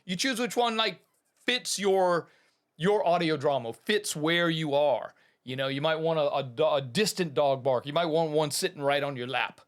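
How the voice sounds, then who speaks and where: A man who talks in a loose, rambling way, full of fillers, restarts and a running "man". His voice sits a bit higher than most men's. He hosts an audio tutorial channel and switches to plain story reading. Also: normal speed. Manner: rambling and chatty, many fillers and restarts, keeps saying "man". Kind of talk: casual talk to viewers, then reading a short story.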